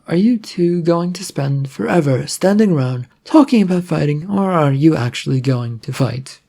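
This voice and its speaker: Bored, brusque gunslinger woman for story narration, played by the narrator. Gravelly, sardonic, impatient. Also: medium pitch, animated, moderate pace, warm tone. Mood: impatient, annoyed.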